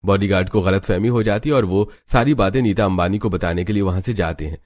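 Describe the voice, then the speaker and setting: A man's voice: low voice, quick pace, a little mellow, no room sound. A man narrating a scripted moral story as a storyteller.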